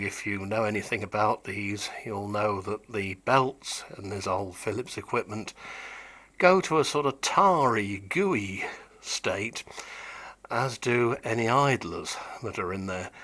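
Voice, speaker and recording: A man's voice: fairly low pitch, fairly bright tone. A man casually demonstrating vintage audio equipment he repaired, explaining in a chatty way with wry asides.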